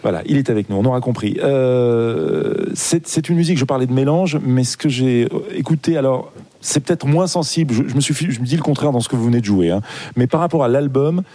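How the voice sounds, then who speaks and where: Chatty male radio host, dark dry voice. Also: mid-range voice, no room sound. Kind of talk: live radio interview.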